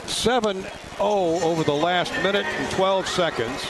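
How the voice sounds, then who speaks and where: A man doing live radio basketball play-by-play in clipped, punchy, excited phrases from an arena. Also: sits high, a little slow, heavy background noise.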